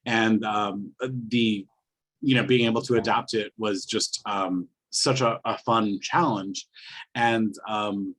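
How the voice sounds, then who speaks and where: A man with a fairly low voice speaks as a playwright in a casual interview. He goes haltingly, often searching for the next word. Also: fairly even intonation, fairly bright tone, low-quality recording. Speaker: playwright, panel guest.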